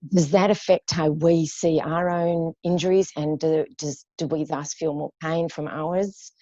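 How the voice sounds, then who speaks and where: A woman's voice: sits low, steady tone, a little slow, mellow, dry recording. Dull, dry-sounding woman host. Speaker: podcast host. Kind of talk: casual interview questions.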